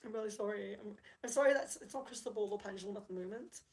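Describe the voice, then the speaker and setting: Creaky-voiced woman on a livestream. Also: medium pitch, quick pace, darkish tone. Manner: apologetic, chatty, reads out viewer comments. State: losing her voice, slightly hoarse. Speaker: livestream rune reader. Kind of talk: casual livestream chat.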